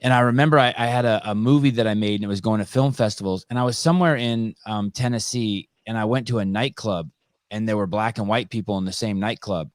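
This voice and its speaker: A man from California hosting a podcast in casual conversation, telling animated stories and swearing freely. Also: on the low side, fast, no room sound, clean studio sound. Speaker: podcast host.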